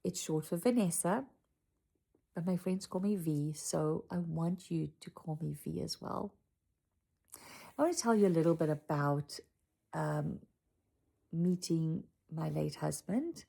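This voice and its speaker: A woman's voice: low voice, measured pace, gravelly, pauses often, warm tone, slightly rough. A South African woman, older, sharing a casual personal story with her channel viewers from home, chatty and full of asides. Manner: chatty and reflective, drifting into asides. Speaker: channel host. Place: home room with a Christmas tree.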